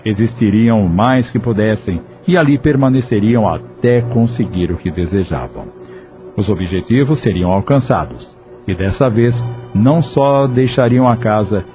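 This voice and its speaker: A man narrating an audio drama in a measured, even storytelling delivery. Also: lowish voice. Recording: dull lo-fi audio with soft music.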